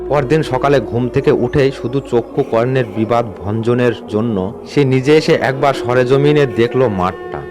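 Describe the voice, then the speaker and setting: A man narrates a scripted ghost story. His voice sits at an average pitch, and he tells it in a measured, suspenseful manner. Music plays underneath the narration. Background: background music.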